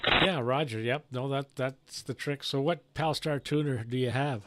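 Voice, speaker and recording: Male ham operator, mid-pitched, casual and halting, with clear background noise and clicks. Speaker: amateur radio operator and live streamer. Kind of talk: casual ham radio chat.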